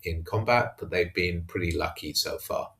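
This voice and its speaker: A man giving an unscripted recap of a tabletop wargame in a hesitant, halting way. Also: low voice, vocal fry, a bit bright. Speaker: tabletop wargamer narrating own battle report. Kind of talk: unscripted match recap commentary.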